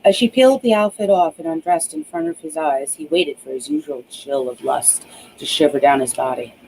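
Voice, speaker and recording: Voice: mid-range voice, normal speed, vocal fry, a little mellow, a little hiss or hum, low-quality recording. An author reading their own novel aloud as scripted audiobook narration.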